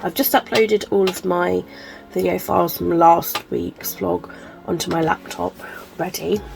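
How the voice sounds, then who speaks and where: A woman's voice: on the low side, level tone, unhurried, gravelly, music in the background. A woman chatting casually to camera as a book vlogger, sounding chesty and congested, rambling with lots of ums and likes. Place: at home.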